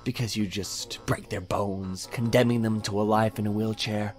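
A man's voice: fairly low pitch, steady tone, a little mellow, faint music behind, natural voice. An actor playing a sinister, self-righteous serial killer in an audio drama, taunting and theatrical as he philosophizes. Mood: taunting, menacing. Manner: theatrical, deliberate, taunting menace with whispered dips. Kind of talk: audio drama villain monologue.

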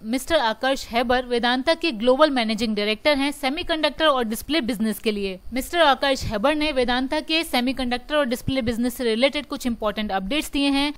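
Clear, high-voiced woman reading market news. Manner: steady explanatory delivery, English terms mixed in. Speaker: stock market news presenter. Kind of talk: scripted market news voice-over. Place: voice-over over screen recording.

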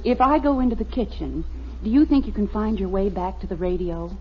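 A woman's voice: medium pitch, a little fast, natural voice. A woman playing a patient, teasing American suburban wife and mother in a radio sitcom, with a dry, polite manner. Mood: wry. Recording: muffled noisy vintage radio, audience behind.

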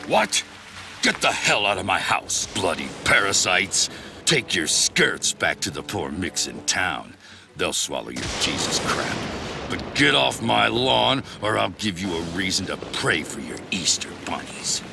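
An extremely low-pitched old patriarch in a video game, bitter and heartless. He speaks with a gravelly creak and domineering contempt, over clear music. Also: big pitch swings, measured pace, exaggerated voice. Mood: angry. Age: older. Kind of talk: video game cutscene acting.

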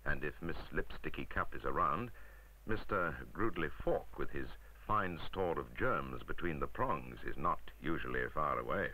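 A man's voice: a bass voice, lively intonation, moderate pace, background noise. A British man narrating a 1960s staff training film in a scripted, wry, emphatic instructional manner, on a vintage soundtrack.

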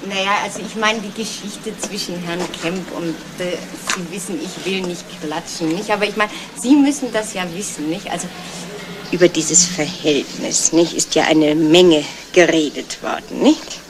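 An actress playing a nosy gossip in a radio crime drama, rambling and insinuating, with constant tag questions. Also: female voice, on the low side, normal speed, lightly acted. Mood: gossipy, insinuating. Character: gossipy, nosy local woman, feigning discretion. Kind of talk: 1970s German radio crime drama acting. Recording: noisy old radio play recording.

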